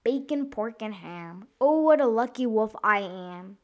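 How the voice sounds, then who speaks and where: A woman voicing a greedy, boastful male wolf villain in a children's verse story, menacing and drawn-out. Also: fairly high pitch, lo-fi sound. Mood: gloating.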